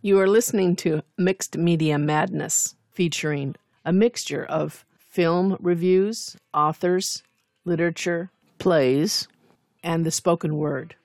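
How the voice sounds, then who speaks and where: A woman reading a scripted radio station announcement in a measured, even way. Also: on the low side, no room sound, clean studio sound.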